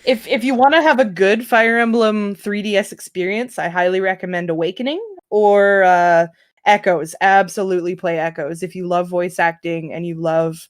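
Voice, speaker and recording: A woman's voice: lowish voice, steady tone, moderate pace. A woman talking casually on a group podcast, giving her opinions in an emphatic way. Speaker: podcast panel member, fan dub organiser.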